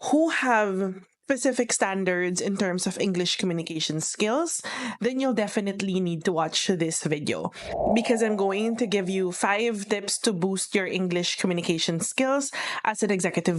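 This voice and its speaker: A young woman with a Filipino accent explains things step by step in an earnest, instructional tone. Her voice sits at a middle pitch, and she tends to repeat her key phrases as she goes. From Philippines. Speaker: virtual assistant operations manager. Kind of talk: instructional vlog talk.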